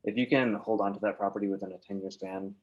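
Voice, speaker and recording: A man who grew up in Oregon, hosting a relaxed, chatty interview as a real estate agent. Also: lowish voice, quick pace, slightly rough, lo-fi sound. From Oregon, USA. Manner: relaxed, chatty, many filler words and restarts. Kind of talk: casual interview questions.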